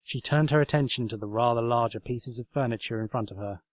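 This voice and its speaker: A man's voice: medium pitch, quick pace. A man reading scripted comic fan fiction as the narrator. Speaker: story reader.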